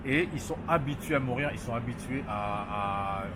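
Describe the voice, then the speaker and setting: A man from France speaking in a street interview, impassioned and halting, often restarting his sentences. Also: medium pitch, background noise.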